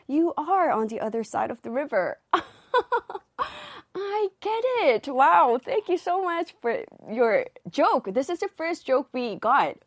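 A woman's voice: high-pitched, no echo. A woman DJ hosting a live radio show in the studio, reading out listener messages in a bubbly, nervous way on her first broadcast.

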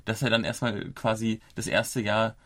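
A man's voice: on the low side, level tone, moderate pace, a bit bright, dry recording. A man in his thirties from Germany, hosting a casual podcast and explaining things at length with small restarts. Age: 30s. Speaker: podcast host.

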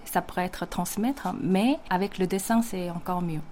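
A woman's voice: fairly low pitch, moderate pace, darkish tone, a little hiss or hum. A young woman with a Korean accent, a Korean native speaker, answering interview questions about her comic books hesitantly, with many fillers and careful phrasing. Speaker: comic book artist guest.

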